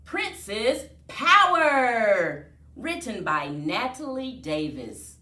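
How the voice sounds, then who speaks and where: A woman reading aloud to children as a storyteller, with an expressive, singsong delivery. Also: fairly high pitch, extremely slow. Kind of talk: read-aloud storytime with rhyming chant. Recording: roomy echo, distant mic, faint music.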